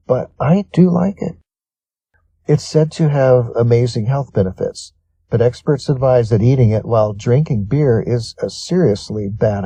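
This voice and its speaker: A man's voice: mid-range voice, warm tone, slightly rough, no room sound. A man narrates scripted reading material, working through it as a prepared article.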